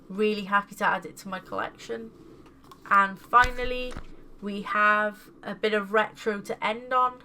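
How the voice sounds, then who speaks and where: Bright-voiced woman with a stuffy cold. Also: medium pitch, slight background noise. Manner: enthusiastic, repeats words for emphasis. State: congested from a cold. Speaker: gaming video host. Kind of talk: casual vlog showing game pickups.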